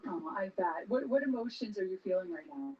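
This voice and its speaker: A woman's voice: medium pitch, lively intonation, a little fast. Female live-event interviewer, mid-range voice, supportive and effusive, slightly muffled broadcast sound. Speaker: red carpet interviewer and host. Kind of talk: live red carpet interview. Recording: dull lo-fi broadcast audio.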